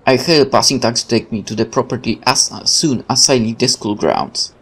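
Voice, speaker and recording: A man's voice: mid-range voice, brisk, slightly rough. A man hosting a let's play, switching between casual commentary and reading the game's narration aloud, with game music behind him. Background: video game music.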